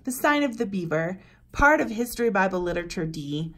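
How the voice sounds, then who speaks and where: A woman, around her thirties or forties, presenting recommendations to camera with deliberate, emphatic phrasing and pauses. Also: medium pitch, big pitch swings, fairly bright tone. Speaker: presenter for a homeschool curriculum blog. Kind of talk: book recommendation walk-through to camera.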